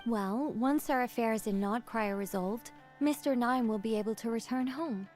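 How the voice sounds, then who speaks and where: Game heroine: bright young woman actress, fairly high pitch, self-assured and teasing, faint music behind. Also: moderate pace, natural voice. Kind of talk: video game voice acting.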